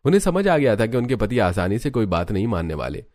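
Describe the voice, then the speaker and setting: A man's voice: on the low side, sing-song, fast, darkish tone, no room sound, studio quality. A man narrating a scripted cartoon story as the storyteller.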